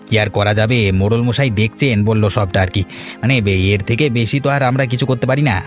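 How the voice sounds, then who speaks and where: A man voicing a quick-talking village fish seller. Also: on the low side, faint music behind, lightly acted. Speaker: cartoon character.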